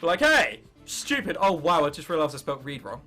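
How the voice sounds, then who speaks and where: Young male gamer, English accent, animated swooping delivery, jokey and sweary, high voice, music behind. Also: fairly quick. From England. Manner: jokey, sweary, self-interrupting. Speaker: gaming video host. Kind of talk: casual let's play commentary, reading game text aloud.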